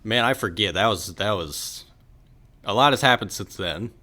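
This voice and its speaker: A man's voice: fairly low pitch, normal speed, pushed and bright. A man hosting a podcast, speaking in a casual, conversational way to a guest and listeners. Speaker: podcast host.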